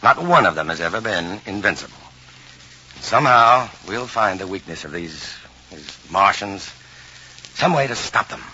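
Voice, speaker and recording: A man playing a calm, brave, practical scientist in a 1950s radio drama, earnest and emphatic in his delivery. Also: fairly low pitch, moderate pace, exaggerated voice. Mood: tender, reassuring. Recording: noisy, dull vintage radio recording from vinyl.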